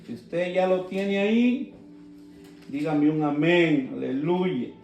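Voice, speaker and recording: A man preaching a sermon. He speaks with slow emphasis and breaks into fervent shouted calls. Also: on the high side. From Guatemala. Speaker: pastor. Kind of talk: live-streamed sermon. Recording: roomy sound, mic at a distance, soft guitar.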